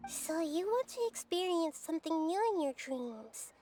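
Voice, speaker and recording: A woman voicing a gentle, childlike goddess in a video game, soft and thoughtful, talking in calm analogies. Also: very high-pitched, moderate pace. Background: game music.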